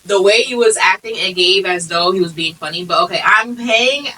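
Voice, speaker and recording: A woman's voice: mid-range voice, normal speed, recorded from a distance, low-quality recording. A young woman from the United States, a podcast co-host telling stories in casual chat and laughing often. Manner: animated storyteller, laughs often.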